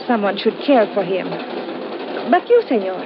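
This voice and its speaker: A woman's voice: mid-range voice, big pitch swings, normal speed. An actress playing a polite young woman, speaking careful, formal English in old-time radio style. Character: polite, soft-hearted young Portuguese woman traveler. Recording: muffled, noisy vintage radio recording.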